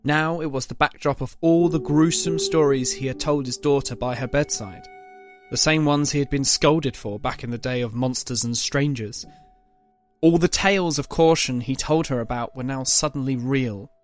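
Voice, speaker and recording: Male narrator, medium pitch, scripted dramatic storytelling over faint music. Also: normal speed.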